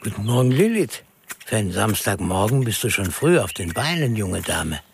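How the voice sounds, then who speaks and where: A man's voice: lowish voice, very animated, normal speed, vocal fry, slight background noise. An actor playing a friendly, unsuspecting man of the household in a radio drama.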